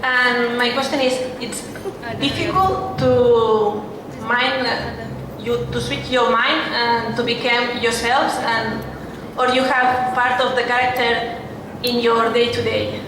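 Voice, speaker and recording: A woman fan putting a question to the panel in halting bits, her voice a little high. The sound is distant, with a long hall echo and clear background noise. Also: fairly even intonation. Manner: hesitant, halting phrasing.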